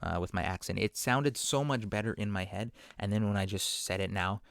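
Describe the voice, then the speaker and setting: A man speaks in a casual podcast monologue, wandering from point to point with plenty of asides. His voice sits on the low side and has a gravelly, fry-tinged texture. The sound is dry, with no room in it. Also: a little fast, dry recording. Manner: rambling, self-deprecating; conversational, many fillers and asides.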